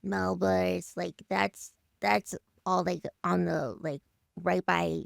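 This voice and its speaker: A woman chatting casually in an interview, telling stories in an animated way and acting out imagined quotes. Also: on the low side, takes it slowly, vocal fry, dry recording, clean studio sound. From Harlem, New York, USA. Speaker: musician guest on a radio show.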